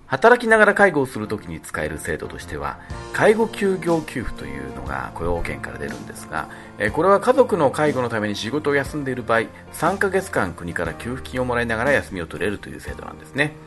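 A man's voice: medium pitch, wildly swooping pitch, quick pace, few pauses, fairly bright tone. A man speaking politely and conversationally as a radio show's leader and commentator. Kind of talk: radio talk and explanation. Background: faint music.

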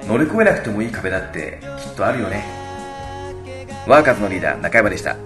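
A man's voice: lowish voice, sing-song, fast, music in the background. A man co-hosting a radio show as a band leader, talking in a conversational style.

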